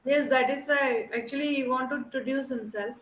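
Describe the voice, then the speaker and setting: A woman's voice: high-pitched, steady tone, a little fast, reverberant, a little hiss or hum. A woman academic counselor calling on students in an online class, with hesitant fillers, on a video call. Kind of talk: leading an online class introduction.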